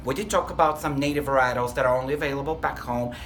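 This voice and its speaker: A man's voice: medium pitch, fairly even intonation, fast talker, a bit bright. Bulgarian-accented man, earnest and enthusiastic. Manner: earnest, enthusiastic, with a few self-corrections. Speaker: restaurant lead trainer, interview guest. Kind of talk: workplace interview.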